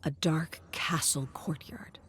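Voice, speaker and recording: A woman reads a scripted story as narrator, with theatrical, deliberate delivery and emphatic short phrases. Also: low-pitched, steady tone, a bit bright, whispered.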